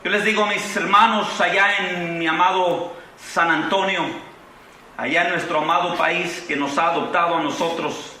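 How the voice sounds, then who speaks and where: Mexican preacher in an echoing convention hall. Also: male voice, sits high, moderate pace, slight background noise. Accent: Mexican Spanish. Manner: impassioned preaching with rising exclamations, then relaxed storytelling. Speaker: pastor. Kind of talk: sermon. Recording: echoey hall from far off.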